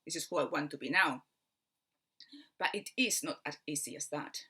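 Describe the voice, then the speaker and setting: A woman's voice: sits low, normal speed, sharp tone, breathy edge. A woman from Barcelona with a Spanish accent, a mind coach giving an earnest spiritual teaching talk, explaining step by step with frequent fillers. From Spain.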